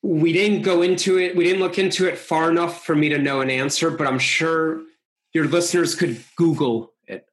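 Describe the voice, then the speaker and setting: A man's voice: on the high side, normal speed. Russian-born man telling jokes. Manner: rambling, deadpan joke delivery. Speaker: comedian guest. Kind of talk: casual podcast banter.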